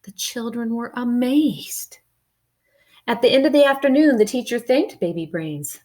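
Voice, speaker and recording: Give me a woman reading a picture book to children with expressive, playful delivery. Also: fairly high pitch, unhurried. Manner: expressive, with playful spoken asides. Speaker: storybook reader.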